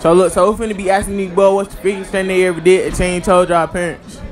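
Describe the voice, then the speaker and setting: A man's voice: sits high, flat delivery, quick pace, faint music behind. A teenage boy hosting a casual interview at school, talking in loose slang into a phone he holds up. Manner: loud, slangy, laughing a lot. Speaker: host. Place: school hallways and gym, indoors. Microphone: phone held up to mouth. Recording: noisy with chatter of a crowd behind.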